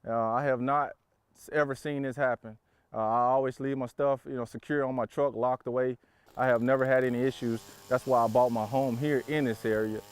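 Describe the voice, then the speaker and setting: A man's voice: medium pitch, steady tone, a little fast, smooth, no room sound. A man giving casual, unscripted answers in an interview.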